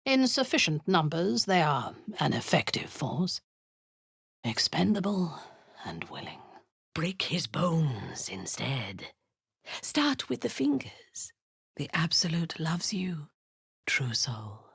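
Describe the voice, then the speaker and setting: A woman's voice: deep voice, big pitch swings, slow, creaky, husky, no echo, clean studio sound, full character voice. An actress voicing a cruel, zealous female warlord in a video game, giving orders in a cold, commanding tone with hissed half-whispers. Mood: menacing.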